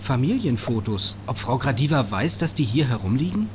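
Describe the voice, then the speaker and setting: A man's voice: mid-range voice, very animated, moderate pace, lightly acted. A man playing a self-assured teenage boy detective in an adventure game, speaking in knowing, declarative lines. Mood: observant, wry. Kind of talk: scripted point-and-click game dialogue. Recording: game audio with clear noise.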